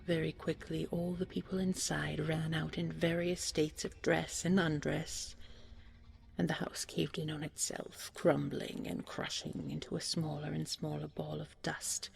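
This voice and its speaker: A woman narrating a scripted bedtime fairy tale softly and soothingly, often close to a whisper. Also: low-pitched, flat delivery, unhurried, creaky. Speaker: storyteller, audio roleplay performer.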